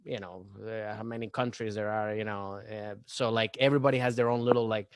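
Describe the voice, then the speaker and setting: A man's voice: fairly low pitch, steady tone, a little fast, smooth, no room sound. A young adult man from Venezuela with a Venezuelan accent, a comedian chatting as a guest in a loose, rambling way with many restarts and fillers. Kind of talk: casual podcast chat.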